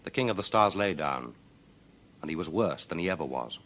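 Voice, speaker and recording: A man's voice: a bass voice, animated, moderate pace, fairly bright tone. A man narrating a children's folk tale in a theatrical, declamatory and rhythmic way. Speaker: storyteller. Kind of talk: dramatic children's storybook narration.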